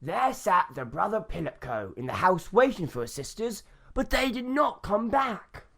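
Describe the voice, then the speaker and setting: A woman's voice: mid-range voice, sing-song, moderate pace, fairly bright tone. A woman storyteller narrating a children's folk tale. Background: instrumental music.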